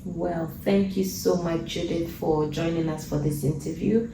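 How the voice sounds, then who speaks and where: A woman's voice: lowish voice, fairly even intonation, measured pace, vocal fry. A woman hosting an interview, asking questions and backing the guest with frequent listening sounds. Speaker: podcast host, interviewer. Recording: roomy sound, distant mic, faint noise.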